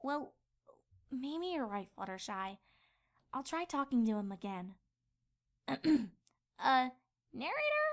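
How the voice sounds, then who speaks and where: A woman's voice: sits high, very animated, slow pace, pauses often, exaggerated voice. A woman voicing an earnest, easily flustered young female pony princess in a fan fiction audio drama. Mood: hesitant, pleading.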